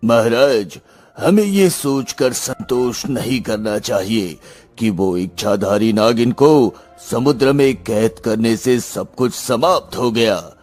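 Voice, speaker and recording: A man playing a wise, solemn royal sage in a cartoon story, speaking formally and gravely. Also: mid-range voice, darkish tone, soft background music. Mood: grave warning. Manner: formal, measured.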